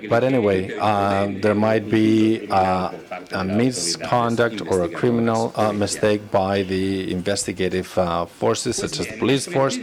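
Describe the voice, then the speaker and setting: Male conference interpreter, lowish voice, halting formal delivery with rephrasing, clear murmur of other voices in the background. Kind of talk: live interpreted academic conference talk.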